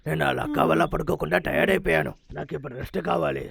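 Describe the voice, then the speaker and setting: A man voicing a weary, bossy elder bear brother in a Telugu cartoon, with a gravelly, grumbling delivery. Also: on the high side, very fast, a bit bright, exaggerated voice. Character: weary, scolding big-brother cartoon bear guarding forest. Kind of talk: Telugu cartoon dub acting.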